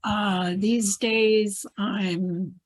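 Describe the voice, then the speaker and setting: Unhurried woman from New Jersey. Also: medium pitch, steady tone. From New Jersey, United States. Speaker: meeting participant. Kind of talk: casual self-introduction.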